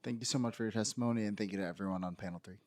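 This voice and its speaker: A man chairing a public council hearing, who calls on speakers in a halting way with many filler words. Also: lowish voice, a little mellow. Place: city council hearing room.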